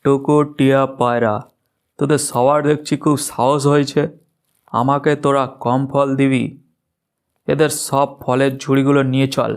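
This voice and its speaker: A cartoon kite chief played by a man, commanding and full of threat. The voice is clean and resonant, holding an even, hard line as he orders smaller creatures about. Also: mid-range voice, moderate pace, clean tone, lightly acted. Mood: angry. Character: bullying male kite bird gang chief. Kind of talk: Bengali children's cartoon voice acting.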